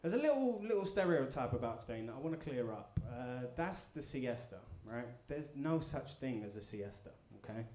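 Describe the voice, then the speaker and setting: A man doing a stand-up comedy set, deadpan and crude, bantering with the crowd. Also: mid-range voice, normal speed, hardly any pauses. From England. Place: comedy club in front of a live audience. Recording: echoey club room. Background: audience laughter.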